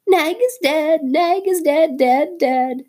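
A tiny male songbird gushes in a shrill, piping sing-song, as a woman reading a storybook puts him on. His voice leaps very high and swoops all over, boastful and excitable. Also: measured pace. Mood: triumphant.

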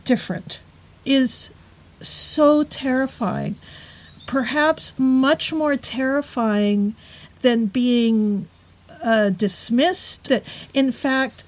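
A woman talking in a casual podcast conversation, thoughtful and measured, slowing down on key words. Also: high voice.

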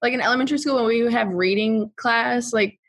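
A woman's voice: on the high side, fast talker, fairly bright tone, slightly rough. A young woman hosting a casual podcast, earnestly steering the topic and talking expressively. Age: young adult. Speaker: podcast host. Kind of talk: casual chat.

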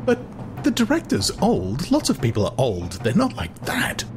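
A man's voice: medium pitch, wildly swooping pitch, normal speed, music in the background, pitched above the performer's own voice. A man voicing a squeamish, indignant young woman who is easily horrified, in an audiobook with resentful, emphatic delivery.

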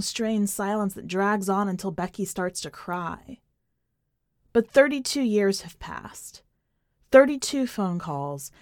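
A woman reading a short story in an expressive, scripted narration style. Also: medium pitch, no echo, clean studio sound. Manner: expressive, acts out dialogue.

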